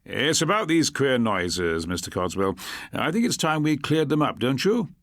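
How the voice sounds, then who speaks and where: A man voicing a gruff, scruffy detective in his forties with a blunt, sceptical, grunting manner. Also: mid-range voice, sing-song, moderate pace, a bit bright, dry recording, studio quality, voice pushed up. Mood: probing. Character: gruff, scruffy forty-two-year-old detective.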